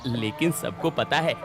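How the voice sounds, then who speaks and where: A man voicing a greedy, cunning village milkman who pretends to be simple and poor in a children's cartoon story, with exaggerated folksy delivery. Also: on the high side. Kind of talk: animated children's moral story dialogue.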